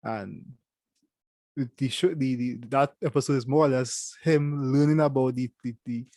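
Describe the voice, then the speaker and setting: A young man with a Trinidadian accent, co-hosting a casual show review and talking in halting bursts with many restarts. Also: medium pitch, dark tone, no echo. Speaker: co-host.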